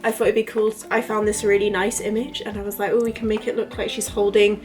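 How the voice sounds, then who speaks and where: A woman in her twenties vlogging casually to camera, chatty and rambling, her voice a little croaky from illness. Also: mid-range voice, flat delivery, fast talker, darkish tone, faint music behind. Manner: chatty, rambling, lots of fillers. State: recovering from illness, voice a bit croaky. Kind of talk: casual talking-to-camera vlog.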